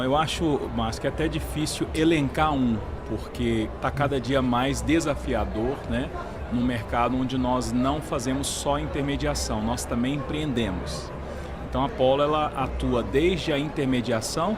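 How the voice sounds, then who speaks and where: A middle-aged man answers interview questions in a conversational, explanatory way. His voice sits at a medium pitch. Clear ambient noise from a trade fair runs behind him. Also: moderate pace. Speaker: interview guest, real estate executive. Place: busy trade fair stand.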